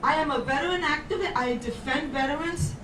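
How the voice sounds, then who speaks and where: A woman's voice: high voice, brisk, far from the mic, heavy background noise. An older woman from Puerto Rico, a candidate for mayor speaking at a forum, rambling and emphatic as she tells personal stories. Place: bar with a live audience. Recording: roomy sound from off the mic.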